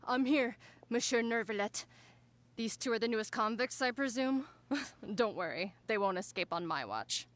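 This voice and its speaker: Teasing prison guard. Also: female voice, fairly high pitch, lively intonation, normal speed, a bit bright. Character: confident female prison guard, amused.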